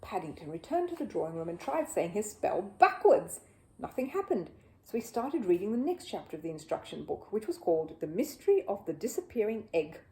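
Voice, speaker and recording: A woman reading a children's story aloud in an expressive, animated way. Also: mid-range voice, fairly quick, rough recording.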